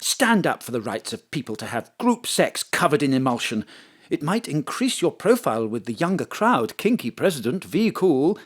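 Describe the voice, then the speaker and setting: A man playing a cool, smooth political adviser with a dry, flirtatious manner in an audio drama. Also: fairly high pitch, sing-song, moderate pace.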